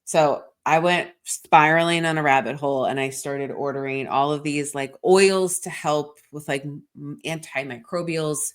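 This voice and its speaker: A woman with a deep, low voice talks loosely on a podcast, piling up fillers and dropping swear words as she rambles through her week. She sounds a bit congested, and her delivery is unguarded and conversational. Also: fairly even intonation, moderate pace, hardly any pauses. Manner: rambling and frank, swears often, heavy "like" filler. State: congested from a sinus infection. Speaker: podcast co-host. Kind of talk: casual chat.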